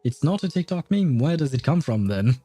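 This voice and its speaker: A man's voice: fairly high pitch, big pitch swings, fairly quick, mellow, dry recording. A man chatting casually on a game livestream, hesitant, with chuckling asides to his viewers. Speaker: livestream gamer reading chat and game dialogue aloud. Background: faint game music.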